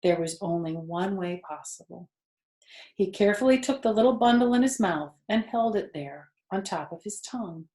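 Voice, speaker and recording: A woman storyteller reads aloud for children in an expressive, explanatory way. Her voice has an average pitch, and it carries the echo of the room. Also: normal speed. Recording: roomy indoor echo.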